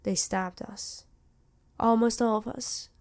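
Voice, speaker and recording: A woman voicing a worried, protective vampire queen in an ASMR roleplay, earnest and measured, with dramatic pauses. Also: on the high side, steady tone, darkish tone. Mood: grave, grieving. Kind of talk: ASMR roleplay acting addressed to listener.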